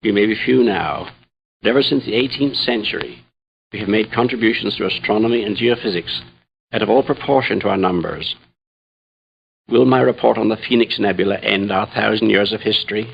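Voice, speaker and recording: A low, gravelly male voice with a husky edge as a troubled Jesuit scientist in deep space. He speaks in solemn, measured reflection, like a confession in a radio drama. The recording is slightly dull. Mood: wry sorrow. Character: troubled, grave Jesuit astrophysicist priest aboard a starship. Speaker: dramatic narrator. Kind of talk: first-person radio drama monologue.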